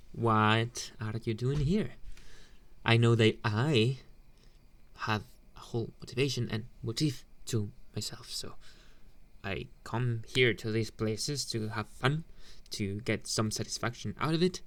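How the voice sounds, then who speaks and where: Delinquent school bully played by a man, unhurried and teasing, mid-range male voice, soft-hearted underneath, intimate ASMR boyfriend roleplay. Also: medium pitch. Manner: taunting, drawn-out, questioning hums.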